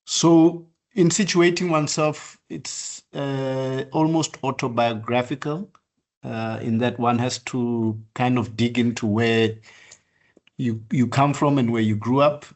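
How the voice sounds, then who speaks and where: Older architect, sixties, lecturing, halting and measured, frequent ums and restarts. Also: male voice, mid-range voice, fairly even intonation. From southern Africa. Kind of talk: online practice lecture.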